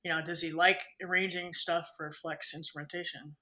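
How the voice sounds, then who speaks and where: A woman who is a band conductor, a guest on a podcast, telling anecdotes in an animated way and acting out quoted speech. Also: fairly low pitch, normal speed. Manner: animated storyteller, acts out quotes. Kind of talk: casual conversation, anecdotes.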